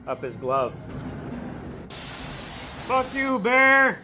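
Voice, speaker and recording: Wry man with lively voice. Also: extremely high pitch, drawn-out words, faint music behind. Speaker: reaction show co-host. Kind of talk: casual reaction chat.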